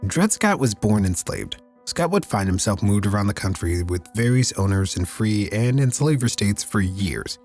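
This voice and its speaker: Casting: male podcast host, lowish voice, raspy and squeaky after illness, steady factual reads, faint music under. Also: moderate pace, hardly any pauses. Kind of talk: read history segments and casual sign-off.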